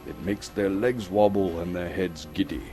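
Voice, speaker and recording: A man from Canada giving a dramatic, suspenseful storybook narration with theatrical, deliberate phrasing. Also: low-pitched, steady tone. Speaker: actor reading a story aloud. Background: music.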